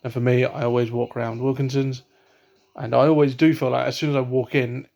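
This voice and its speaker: A man's voice: medium pitch, little pitch movement, fairly quick, creaky, a little mellow. A young man from England talking at home in a casual vlog, hesitant, with many ums and restarts. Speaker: vlogger. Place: at home, indoors. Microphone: laptop webcam.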